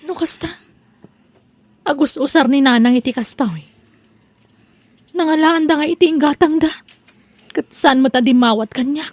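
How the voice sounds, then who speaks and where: A nosy daughter in an Ilocano audio comedy played by a woman, with a very high voice. She questions her elderly parents with exasperation, in an Ilocano accent. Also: normal speed, pauses often, no echo, close to an everyday voice. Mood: puzzled. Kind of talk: comedy audio drama acting.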